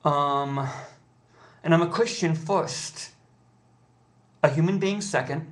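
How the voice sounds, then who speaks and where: An American man making an impassioned personal appeal, speaking earnestly and pleadingly with halting, deliberate pauses. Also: on the high side, fairly bright tone. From United States.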